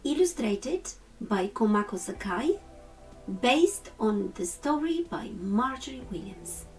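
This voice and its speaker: A woman reads a picture book aloud for storytime. Her phrasing is slow and deliberate, in a mid-range voice. She sounds slightly away from the mic, with music playing behind her. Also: on the high side, sing-song, darkish tone, far from the mic, rough recording. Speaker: storytime reader. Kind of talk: children's storybook narration.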